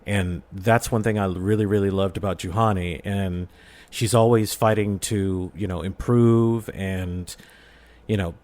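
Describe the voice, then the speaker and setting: A man's voice: low voice, steady tone, a little slow, a little mellow. A man talking casually as a podcast guest, explaining his views in an earnest, rambling way. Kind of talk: casual fan discussion.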